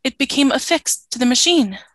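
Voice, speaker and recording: A woman's voice: sits high, animated, normal speed, fairly bright tone, no room sound. A woman playing a stern, obedient female alien soldier in a radio play, speaking in formal, clipped, literal statements. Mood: dutiful.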